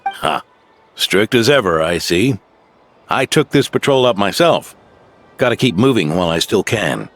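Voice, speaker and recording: A man voicing an aging, stubborn veteran knight near retirement, genial and gruff, in a game cutscene. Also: fairly low pitch, expressive, a little slow, long pauses, fairly bright tone, soft background music. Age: older.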